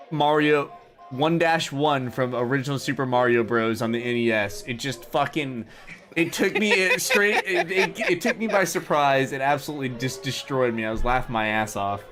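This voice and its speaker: A man's voice: medium pitch, expressive, normal speed, a bit bright, soft background music. A man chatting casually as a livestream co-host, enthusiastic and freely swearing. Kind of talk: casual chat.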